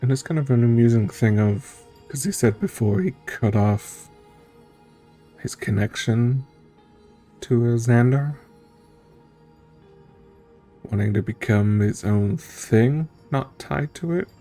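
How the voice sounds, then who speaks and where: Slow, mellow man musing over a game. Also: medium pitch, faint music behind. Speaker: streamer reacting to a game story. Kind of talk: casual thinking-aloud commentary.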